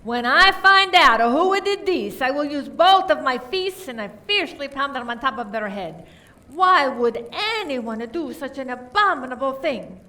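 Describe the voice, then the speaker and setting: A woman acting a volatile, indignant murder suspect in an amateur radio play, dramatic and forceful in manner. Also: high voice, lively intonation, a little slow, exaggerated voice. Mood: vengeful, fierce. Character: volatile, indignant French woman suspect, loyal grieving friend.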